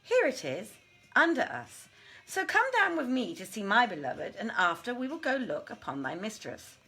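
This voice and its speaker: A woman's voice: a little high, wildly swooping pitch, moderate pace, gravelly, bright, forceful tone, breathy edge, faint music behind, voice pushed up, exaggerated voice. A woman voicing a proud, fierce, lovestruck winged female spirit in a storybook, declaiming commands in archaic language. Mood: imperious.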